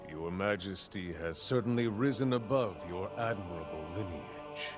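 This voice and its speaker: An actor playing a scheming, falsely courteous desert king in a game cutscene, speaking slowly and deeply. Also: lively intonation. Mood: silky menace. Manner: slow, deep, falsely courteous. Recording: game cutscene over music.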